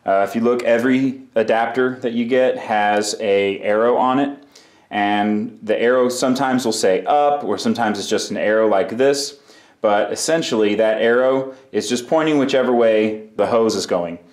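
A man's voice: medium pitch, normal speed, darkish tone, roomy sound. A man explaining a bike repair step by step in a casual, practical way, in his workshop. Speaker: bike mechanic. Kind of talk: hands-on repair tutorial.

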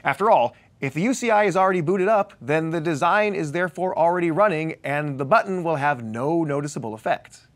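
A man, presenting scripted instructional narration in a conversational, wry and emphatic manner. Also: a little high. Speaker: training presenter.

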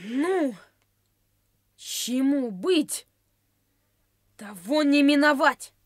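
A performer playing a brave, defiant schoolboy in a radio play, speaking in a deliberate, dramatic way. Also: high voice, lively intonation, exaggerated voice. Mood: grim defiance.

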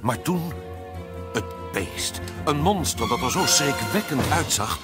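A man's voice: medium pitch, animated, slow, over loud music. A man narrating a scripted fairy tale as a storyteller.

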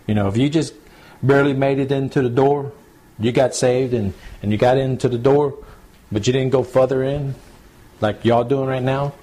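A man, a pastor teaching scripture to a small home church group in a relaxed, repetitive, conversational way. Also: mid-range voice, normal speed. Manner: conversational, repeats points, addresses group as y'all. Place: small home gathering, recorded to CD.

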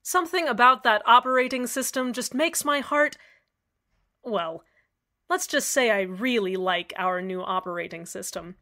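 A woman playing a cheerful radio host with an eerily calm, sweet manner. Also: on the high side, normal speed. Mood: smitten. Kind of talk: scripted radio drama narration.

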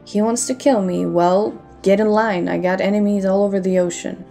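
Mellow, gravelly pirate captain played by a woman. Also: mid-range voice, steady tone, moderate pace, faint music behind, natural voice. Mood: defiant. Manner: cocky, flirtatious, addressing listener with pet names. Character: bold, teasing female pirate captain. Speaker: audio roleplay voice actor. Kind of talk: audio roleplay acting.

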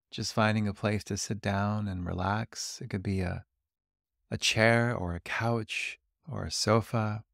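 Male meditation guide: lowish, creaky voice, calm and gentle delivery, leaving long pauses between instructions. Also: no echo, clean studio sound. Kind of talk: guided body scan meditation.

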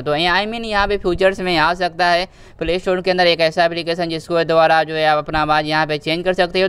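A man's voice: on the high side, a bit bright, clear, resonant tone, no room sound. A young man giving a casual tutorial voice-over as a gaming host, repeating himself and addressing listeners as brothers. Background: background music.

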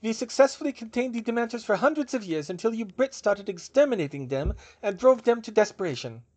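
A man voicing a stern, sharp older witch official in an audiobook. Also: sits high, sing-song, a little fast, voice pushed up. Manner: sharp, unyielding.

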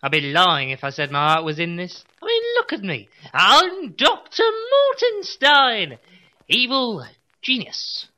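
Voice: mid-range voice, huge pitch swings, a little slow, pushed and bright, full character voice. An actor playing a weary, self-pitying evil-genius doctor in a comedy audio drama, grumbling with resigned melodrama.

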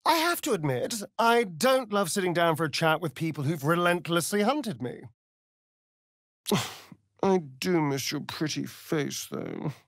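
Flamboyant british vampire for a video game, vain and sardonic, breathy, very lively pitch, dry close sound. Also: male voice, unhurried, no echo. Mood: scornful, dry. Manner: arch, drawling, flamboyant. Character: vain, sardonic, immortal male vampire rogue. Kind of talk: theatrical fantasy video game acting.

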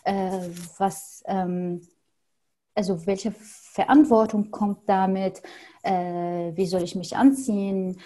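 A young woman from Syria, Arabic native speaker, answering interview questions thoughtfully with many pauses and fillers, over a video call from a small home room. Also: medium pitch, flat delivery, dark tone. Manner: thoughtful, hesitant with frequent fillers. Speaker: podcast guest.

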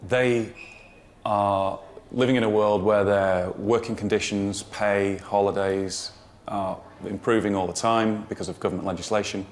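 A man interviewed as a historian, speaking in a deliberate, analytical way. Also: on the low side, fairly even intonation, a little hiss or hum.

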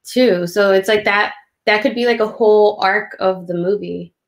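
A woman's voice: medium pitch, fairly even intonation, normal speed. A young woman, an illustrator, chatting informally and casually in a conversation about her work over a video call from a room at home. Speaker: children's book illustrator. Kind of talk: casual conversational book talk. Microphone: video call, computer at desk distance.

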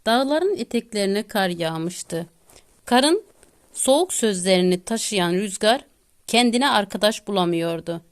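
A woman's voice: medium pitch, lively intonation, unhurried, clean tone. Woman reading a children's bedtime story.

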